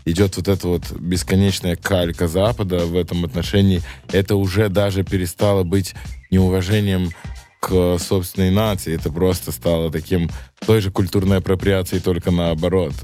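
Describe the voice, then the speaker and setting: A man's voice: low voice, flat delivery, normal speed, darkish tone, faint music behind. A man of about thirty talking casually and slangily as an interviewed rapper, reflective and searching for words.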